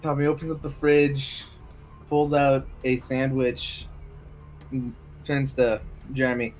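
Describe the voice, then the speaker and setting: A man plays a tabletop roleplaying game with casual, improvised lines and action narration. His voice is medium in pitch, with faint music underneath. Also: flat delivery, takes it slowly, darkish tone, low-quality recording.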